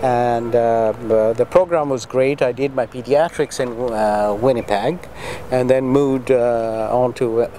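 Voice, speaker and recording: A middle-aged man from Tanzania speaks as an interviewee, reflecting on his life and work. His delivery is halting and deliberate, with an earnest tone and a medium-pitched voice. Steady urban noise is clearly audible behind him. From Tanzania, East Africa. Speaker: interviewee, pediatrician and medical association president. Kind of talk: interview answers, reflective personal account.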